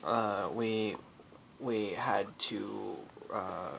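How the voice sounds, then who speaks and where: A man's voice: lowish voice, fairly even intonation, vocal fry, fairly bright tone. Rambling man telling a story over noise. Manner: rambling, halting, often breaking into laughter. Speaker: storyteller, host of the video. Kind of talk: improvised comic storytelling with friends. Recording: noisy home recording with clicks.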